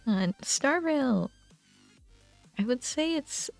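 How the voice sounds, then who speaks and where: A woman livestreaming a game, chatting casually and thinking aloud in a halting way. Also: high-pitched, expressive, creaky, no echo. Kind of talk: casual chat while playing a game. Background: game music.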